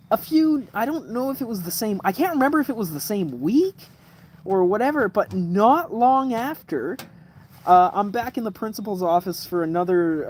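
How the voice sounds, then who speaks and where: A man's voice: high voice, darkish tone, slight background noise. A man in his twenties telling rambling stories on a casual livestream, swearing, with lots of fillers and restarts. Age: 20s. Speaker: livestream host. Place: room lit by kerosene lamp at night.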